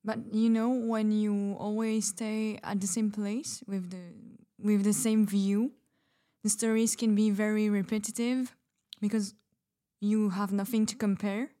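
A woman's voice: mid-range voice, little pitch movement, unhurried, warm tone, lightly acted. A woman playing a shy, disillusioned writer in a fiction podcast, speaking hesitantly. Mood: wistful, hesitant. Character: shy, disillusioned writer who loves poetry. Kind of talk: fiction podcast roleplay as interview.

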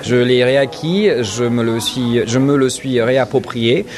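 A man's voice: mid-range voice, unhurried. A man from New Orleans, a guest on a radio interview, speaking thoughtfully with many hesitations. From Louisiana, New Orleans. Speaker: interview guest, cultural association president.

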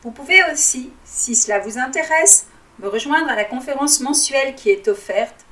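A calm, measured woman coach whose first language is Arabic, with a fairly high voice. She guides listeners through a reflective exercise at an unhurried pace and keeps posing questions to them. The voice sounds a little distant from the microphone. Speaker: life coach.

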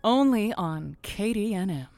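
A woman's voice: mid-range voice, animated, unhurried, darkish tone, soft background music. A woman playing a wry late-night lonely hearts radio host in an audio drama. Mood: announcing. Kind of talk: semi-improvised audio drama, radio call-in show patter.

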